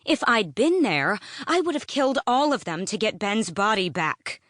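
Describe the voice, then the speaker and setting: A woman voicing a hot-tempered young female assassin in an audiobook, sharp and challenging. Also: mid-range voice, very animated, normal speed, no echo, clean studio sound, voice pushed up. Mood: growling, furious.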